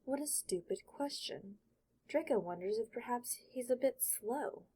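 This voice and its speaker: A woman with a slightly husky voice narrates a work of fiction. She reads scripted prose in the present tense, as an audiobook storyteller does. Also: a little high, expressive, measured pace, mellow.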